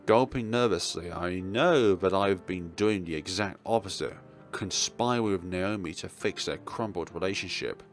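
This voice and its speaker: A man's voice: deep voice, moderate pace, soft background music. A man hosting a let's play, reading dramatic narration aloud with casual, jokey asides.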